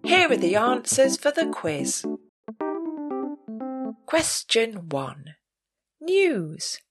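Female pub quiz host, scripted read, unhurried pace with animated rises and falls. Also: a little high, loud music behind the voice.

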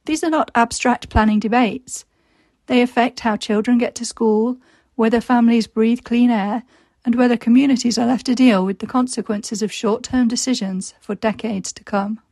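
A woman reading newspaper articles and a reader's letter aloud in a measured, deliberate way, slowing down through lists. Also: on the high side, steady tone, darkish tone, no echo.

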